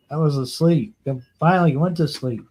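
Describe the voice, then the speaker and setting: A man's voice: fairly high pitch, normal speed, warm tone, a little hiss or hum. A man from Ohio telling stories casually, in a rambling, folksy way, circling back over his phrases. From Ohio, United States. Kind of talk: casual anecdotal storytelling.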